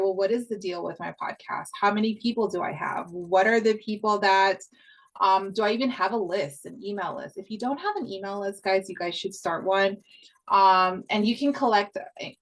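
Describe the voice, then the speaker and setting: A woman's voice: medium pitch, fairly bright tone, rough recording. An upbeat woman from Hawaii talks through a podcast sales lesson in a loose, chatty way. She repeats her key points and drops casual swears as she goes. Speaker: podcast coach. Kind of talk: casual online course talk.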